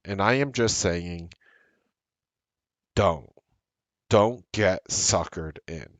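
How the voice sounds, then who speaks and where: A man of about forty, hosting a trading show and talking earnestly and emphatically, repeating his points like a pitch. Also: very slow, fairly bright tone, no echo. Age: late 30s. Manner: emphatic, repetitive, salesy and earnest. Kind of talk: direct-to-camera market commentary.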